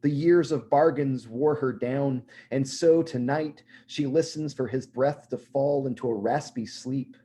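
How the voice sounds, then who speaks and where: A hoarse man in his thirties reading his poetry aloud, his voice dark and mellow at a middling pitch. He recites with measured care, then drifts into loose, rambling asides, clearing his throat now and then. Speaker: poet reading his own work.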